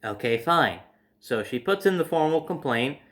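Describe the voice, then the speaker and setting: A man's voice: on the high side, lively intonation, moderate pace, fairly bright tone. A young man casually telling personal stories, rambling, swearing freely and starting over with "so". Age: young adult. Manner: rambling, profane, starts lines with "so". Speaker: storytime vlogger. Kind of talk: casual storytelling.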